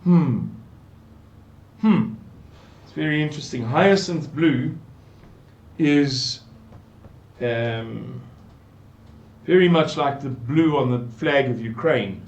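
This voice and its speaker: An older South African man with a South African English accent, slowly musing through a Bible passage and repeating words for emphasis. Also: mid-range voice, highs cut off. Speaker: Bible teacher. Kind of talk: unhurried scripture commentary. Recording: echoey room.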